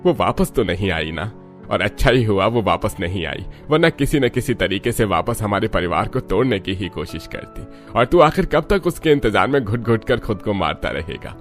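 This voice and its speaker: A man playing a low-voiced, concerned older father. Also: expressive, moderate pace, faint music behind, lightly acted. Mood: concerned, urging. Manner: earnest, admonishing. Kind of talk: cartoon story dialogue.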